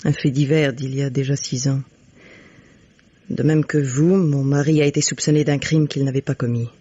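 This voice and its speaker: A husky, fairly low-voiced woman as a protective widow in a vintage radio mystery. She is composed and earnest, and the old recording sounds dull and lo-fi. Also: steady tone, moderate pace, warm tone. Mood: grave, confiding. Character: resolute, protective widow sheltering a fugitive. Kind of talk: French radio mystery drama acting.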